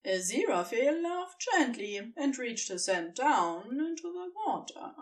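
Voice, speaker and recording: A woman narrating a fan fiction story in an expressive, scripted storytelling style. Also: high-pitched, a little slow, far from the mic.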